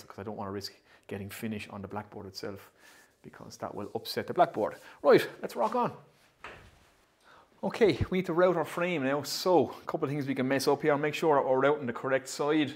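A man in his thirties hosting a woodworking vlog, chatting casually and thinking aloud as he works in his shed. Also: mid-range voice. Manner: chatty, upbeat, thinks aloud while working. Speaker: woodworking channel host. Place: indoor woodworking shed, pegboard walls.

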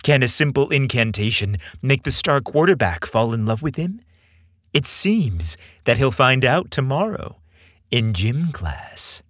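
A male podcast narrator with a husky voice and a widely swooping, expressive pitch. He reads scripted material in a theatrical, deliberate way, recorded close and dry. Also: no echo. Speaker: podcast host and story narrator.

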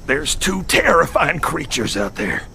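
An actor voicing a jittery, cowardly animal in an animated cartoon, grumbling and fretful in an exaggerated way. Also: mid-range voice, unhurried, vocal fry, noisy background. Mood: panicky. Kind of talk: animated cartoon dub acting. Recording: loud music under the voice.

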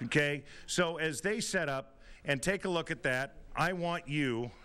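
Man, field reporter, bright tense voice, handheld mic, indoors, joking and upbeat. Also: a little high, a little slow, a little hiss or hum. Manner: upbeat, joking, guiding people around. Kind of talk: live on-location TV segment. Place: indoor renovated building.

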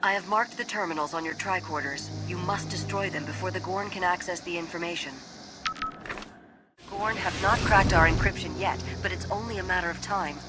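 A woman's voice: brisk, close to an everyday voice. An actress playing a composed female alien scientist in a sci-fi game, speaking formally and precisely. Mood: grave, urgent. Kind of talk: scripted video game dialogue. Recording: loud music and engine rumble behind.

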